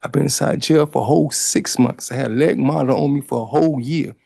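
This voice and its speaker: A man's voice: mid-range voice, fast talker, vocal fry, dark tone, husky. A man testifying in a courtroom under cross-examination, answering emphatically and defensively in long run-on replies.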